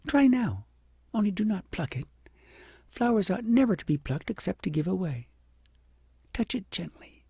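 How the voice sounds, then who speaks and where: A woman's voice: medium pitch, very animated, normal speed, vocal fry, pauses often, mellow, slightly rough, no room sound, studio quality, pitched above the performer's own voice, heavily acted. A reader voicing a kind, sweet, magical little girl in a storybook. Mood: gentle, kind.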